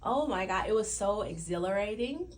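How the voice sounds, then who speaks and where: A woman talking casually as a guest in a radio interview, with an animated, emphatic way of telling things. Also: mid-range voice, moderate pace. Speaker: guest, playwright and stage producer.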